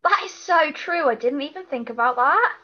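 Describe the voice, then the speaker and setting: A woman's voice: high voice, lively intonation, moderate pace, pushed and bright. A British woman chatting casually as a podcast co-host, on a slightly lo-fi recording. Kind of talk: casual chat.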